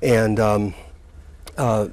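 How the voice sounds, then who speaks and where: A man in his sixties, a college president, recalls his career in a relaxed interview and talks haltingly, with many fillers and restarts. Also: fairly low pitch. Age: 60s. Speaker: community college president, guest.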